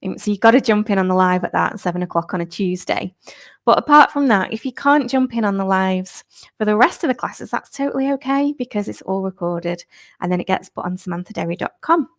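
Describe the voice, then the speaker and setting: A woman, a fitness instructor, chatting upbeat and slightly croaky on a live stream, laughing often. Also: mid-range voice, a little fast, no room sound, studio quality. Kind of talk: live-stream chat with viewers. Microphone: new clip-on microphone.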